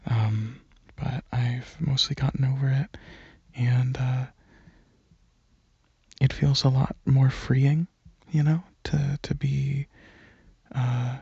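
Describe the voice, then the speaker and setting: A young man giving a soft-spoken, casual ASMR ramble, halting and hesitant, often slipping into breathy whispers. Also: mid-range voice, flat delivery, no echo, dull audio. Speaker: ASMR video creator and channel host.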